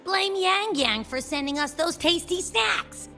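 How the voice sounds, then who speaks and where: A woman's voice: very high-pitched, lively intonation, measured pace, vocal fry, bright, forceful tone, soft background music, heavily acted. A woman voicing a bubbly, food-loving, whimsical young girl companion in a video game, dreamy and dramatic. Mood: longing, playful.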